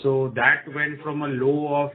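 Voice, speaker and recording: A man with an Indian accent speaking as a company executive on an earnings call, in a formal, measured manner. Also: fairly high pitch, level tone, slight background noise. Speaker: company executive presenting results. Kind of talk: earnings call presentation and answers. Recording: muffled, narrow phone conference line.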